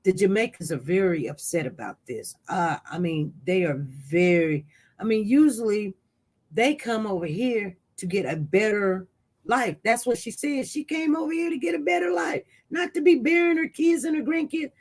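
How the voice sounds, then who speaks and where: A live-stream news host in casual commentary, reading viewer chat aloud and reacting with sudden jumps in pitch and loudness, rambling with frequent restarts. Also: moderate pace. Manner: animated, voice jumps up loud when reacting to chat.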